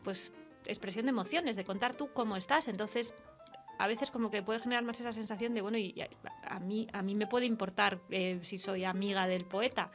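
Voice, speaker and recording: A woman's voice: medium pitch, brisk. Female radio talker, Castilian accent, conversational and reflective, light music bed. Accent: Castilian Spanish. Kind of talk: casual literary conversation.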